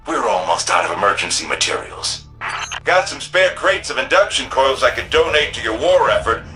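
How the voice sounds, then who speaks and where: A man's voice: mid-range voice, bright, forceful tone, low-quality recording. An actor playing a practical, hard-pressed city repair crew chief in a sci-fi game, blunt and urgent, with a folksy working man's way of talking. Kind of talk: video game quest dialogue.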